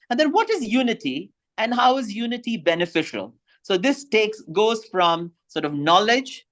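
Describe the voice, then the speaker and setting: Thoughtful man presenting slides. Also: fairly high pitch. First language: Tamil. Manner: thoughtful, rising emphasis on key points.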